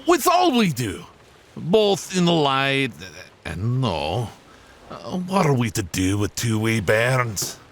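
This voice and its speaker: An actor playing a deferential pirate master gunner with a halting manner in an audio drama. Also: male voice, medium pitch, huge pitch swings, a bit bright, heavily acted. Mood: nervous, deferential. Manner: hesitant, halting.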